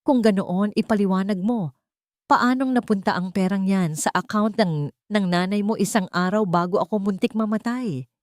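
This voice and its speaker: A woman's voice: medium pitch, normal speed, darkish tone, no echo, lightly acted. A cold male CEO from a romance story, put on by a woman narrator. He speaks in curt commands, proud and distrustful. The recording is dry. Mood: cold accusing.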